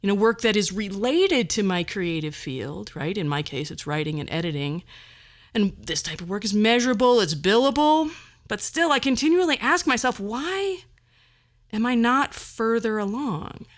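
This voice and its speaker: A woman's voice: medium pitch, lively intonation, normal speed. A middle-aged woman hosting a podcast, delivering a personal monologue in a wry, self-deprecating and theatrically emphatic way. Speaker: podcast host.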